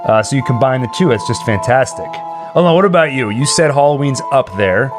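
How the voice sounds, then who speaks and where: A man hosts a casual live stream in a chatty, joking way. His voice sits in a middle register, with soft ambient music running behind it. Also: mid-range voice, expressive, brisk. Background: ambient Halloween music.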